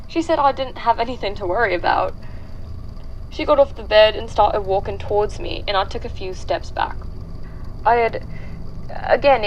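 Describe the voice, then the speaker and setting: A woman playing a frightened, grieving young woman giving a halting, earnest statement in a horror audio drama. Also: fairly high pitch, a little hiss or hum, poor audio. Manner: halting, haunted, earnest, with hesitant restarts.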